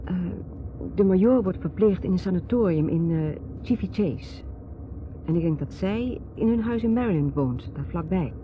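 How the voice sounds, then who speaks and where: A woman's voice: lowish voice, animated, moderate pace, natural voice. An actress playing a guarded, troubled society mother in a radio drama, speaking with hesitant reserve. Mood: matter-of-fact. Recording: noisy, muffled old radio drama recording.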